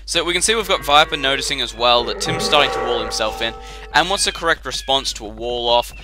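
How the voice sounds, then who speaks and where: A young man giving a chatty, rambling commentary on a game replay, his voice croaky and tired after a late night. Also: medium pitch, lively intonation, fast talker, over music. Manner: chatty and rambling, with filler words.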